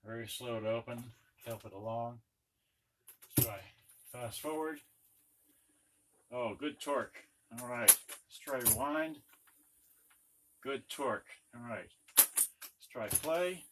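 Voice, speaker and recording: A man talks through a hands-on gadget demo in a casual, muttering way, as if half to himself. His voice sits at a middling pitch. The recording is clearly noisy, with clicks from handling. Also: slow pace, pauses often. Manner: muttering to himself while working. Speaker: electronics unboxing presenter. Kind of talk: casual hands-on demonstration commentary. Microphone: camera on a tripod.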